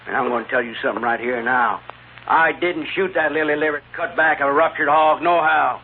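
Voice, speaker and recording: A man's voice: a little high, fairly even intonation, a little fast, lightly acted. An actor playing an ornery, uneducated frontier gunman in a 1950s radio western, with a folksy, blustering Western drawl. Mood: indignant. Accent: American West drawl. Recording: tinny, faintly noisy old radio.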